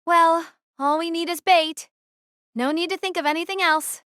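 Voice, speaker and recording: A woman's voice: extremely high pitch, fairly even intonation, normal speed, fairly bright tone, no room sound, clean studio sound. A woman playing a firm young female captain. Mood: commanding. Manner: firm, decisive orders. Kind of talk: fan audio drama acting.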